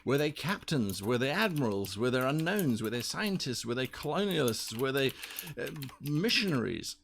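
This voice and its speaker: A man's voice: medium pitch, very animated, normal speed, vocal fry, fairly bright tone, a little hiss or hum. A man co-hosting a talk show, explaining in an emphatic, rhetorical way with repeated parallel phrases. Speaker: podcast co-host. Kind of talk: explanatory discussion of tabletop roleplaying.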